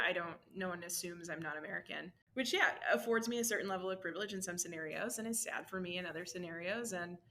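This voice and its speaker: Woman with a bright lowish voice, chatty interview answer.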